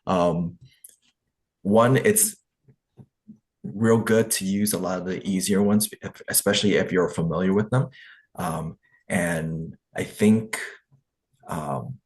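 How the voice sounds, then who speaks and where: A man's voice: low-pitched, slow, pauses often. A man hosting an English conversation meeting, giving encouraging, rambling feedback with many fillers. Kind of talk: casual feedback in an online meeting.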